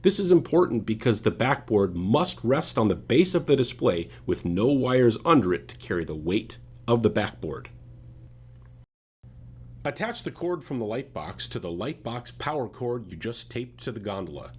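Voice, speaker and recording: A man's voice: mid-range voice, very animated, moderate pace, slightly rough. A man reading scripted step-by-step instructional narration in a methodical, directive way.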